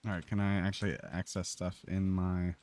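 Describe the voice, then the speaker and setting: Low-pitched man casually streaming a game. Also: flat delivery, normal speed, darkish tone. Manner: informal, sweary, muttering to himself while playing. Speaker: live streamer playing a video game. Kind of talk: casual gaming livestream chat.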